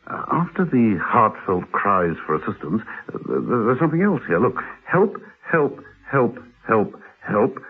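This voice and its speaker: A man playing a courteous, urbane gentleman secret agent in a radio drama, polite and hesitant, hemming as he talks. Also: lowish voice, big pitch swings, measured pace, few pauses, slightly rough, heavily acted. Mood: puzzled. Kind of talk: classic radio drama acting. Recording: narrow-band vintage radio recording.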